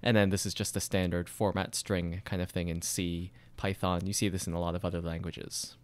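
Lowish smooth male coding instructor. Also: fairly quick, no room sound. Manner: explains while typing, steady and methodical. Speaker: programming tutorial instructor. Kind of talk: screencast coding walkthrough.

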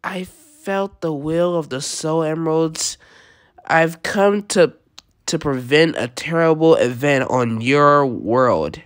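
Woman acting composed female cat princess, formal, reserved, comic dub, low pitch, deliberate pace. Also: lively intonation. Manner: polite, measured, composed.

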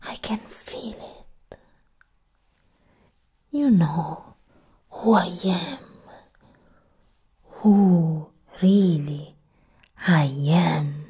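A young woman playing a proud, menacing goddess of death in a soft-spoken ASMR roleplay, breathy and whispered, close to the mic. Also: lowish voice, full character voice. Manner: slow, deliberate, menacing. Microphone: close ASMR microphone.

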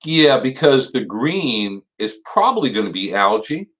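A man's voice: mid-range voice, very animated, measured pace, fairly bright tone. A middle-aged man with an American accent, hosting a casual question-and-answer session as an expert. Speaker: host, extension plant expert. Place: small indoor office.

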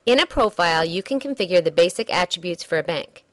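A woman reading a scripted software demo narration in a measured, instructional way. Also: on the low side, animated, no echo.

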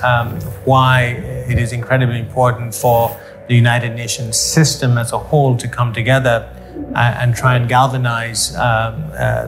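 A middle-aged man from Sri Lanka, an official, speaking in a measured way to camera with frequent ums and uhs. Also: medium pitch. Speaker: development program official. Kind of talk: to-camera interview.

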